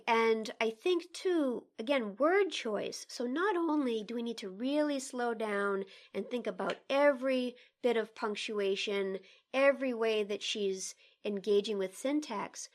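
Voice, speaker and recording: A woman co-hosting a literary discussion, speaking in a deliberate, articulate, emphatic way. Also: on the high side. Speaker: podcast co-host. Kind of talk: literary discussion and poetry reading.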